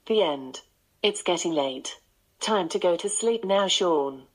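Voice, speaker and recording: A woman's voice: lowish voice, lively intonation, slow pace, breathy edge. A synthetic woman's voice of a voice assistant, narrating bedtime stories.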